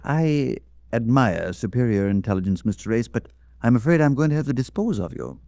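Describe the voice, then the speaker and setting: An actor playing a suave, calculating husband turned killer in a 1940s radio drama, with polished, sardonic, formal speech. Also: male voice, mid-range voice, expressive, brisk, dark tone, no echo, studio quality, lightly acted. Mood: cold menace. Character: suave, calculating murderous husband, coolly menacing.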